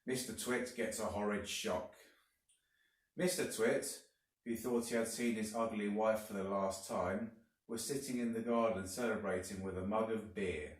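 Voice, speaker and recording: A man reading a children's story aloud like a teacher, deliberately and with dramatic emphasis. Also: fairly low pitch, steady tone. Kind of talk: expressive storybook narration. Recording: very echoey room, distant mic.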